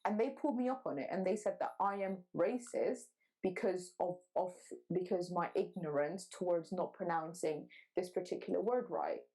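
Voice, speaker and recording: A woman's voice: on the low side, normal speed, warm tone, rough recording. A woman who grew up between the Netherlands, Sudan and Wales, a guest in a casual podcast chat, talking animatedly and emphatically, swearing freely and cutting in mid-sentence over a video call.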